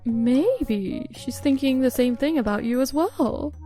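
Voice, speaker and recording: A high yet mellow female voice acted by a woman plays a gentle mother advising her grown daughter. She is soothing, reasonable and encouraging. It is a manga dub with light music behind. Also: animated, slow pace, heavily acted. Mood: gently reassuring.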